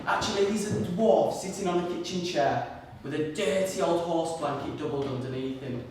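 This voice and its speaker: A man on stage announcing the evidence and addressing jurors in a measured, formal way. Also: fairly high pitch, natural voice. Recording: echoey hall from far off, audible hiss.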